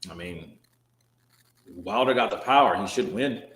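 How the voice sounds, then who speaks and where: Low-ish guy on a live boxing stream, chatty and joking, swears a bit, echoey room. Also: unhurried, fairly bright tone. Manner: casual, joking, swears, trails off and restarts. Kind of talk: live stream chat reading comments. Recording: roomy echo.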